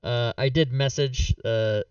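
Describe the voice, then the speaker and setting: A man's voice: mid-range voice, steady tone, a little slow, darkish tone, clean tone, no room sound. A man hosting a tabletop game stream, chatting casually and rambling with lots of fillers while losing his voice. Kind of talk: casual end-of-stream chat. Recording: dull, slightly distant, dry mic.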